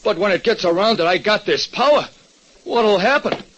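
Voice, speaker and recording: High-pitched New wise-guy diner owner. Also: lively intonation, moderate pace, fairly bright tone, a little hiss or hum. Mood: worried, agitated. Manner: streetwise ungrammatical wise-guy talk. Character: Louie, excitable ex-burglar diner owner, anxious tough guy. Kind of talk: 1940s radio detective drama acting. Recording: muffled vintage radio recording.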